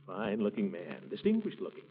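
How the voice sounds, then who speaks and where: An actor playing a pompous, blustering middle-aged water commissioner in 1940s radio comedy, eager and easily flustered. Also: male voice, lowish voice, huge pitch swings, quick pace. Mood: hopeful, self-important. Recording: muffled, noisy 1940s radio recording.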